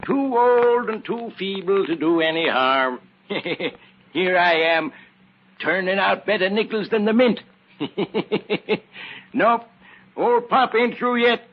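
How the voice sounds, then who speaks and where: An actor playing a feeble, folksy old counterfeiter with a cackling, raspy voice in an old-time radio drama. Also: male voice, high-pitched, takes it slowly. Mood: gleeful, proud. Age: older. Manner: folksy, rambling, chuckling to himself. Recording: muffled old radio recording.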